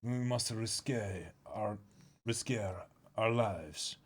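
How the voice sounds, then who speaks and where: Brief: a stiff, pompous knight read by a man; low and formal. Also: takes it slowly, slight background noise. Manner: formal, stumbling reading.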